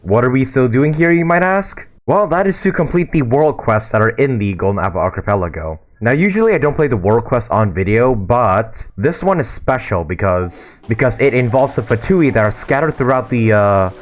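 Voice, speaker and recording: Young male gaming host, hoarse from a sore throat, rambling casual commentary. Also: medium pitch, expressive, normal speed, hardly any pauses. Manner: loose, with frequent fillers and repeats. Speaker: gaming YouTuber.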